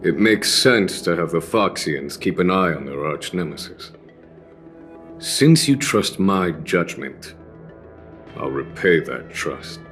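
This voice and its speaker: An actor playing a calm, courteous, reassuring general in a video game. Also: male voice, sits low, slow, faint music behind, heavily acted. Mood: calm, deliberate. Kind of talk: English game voice acting.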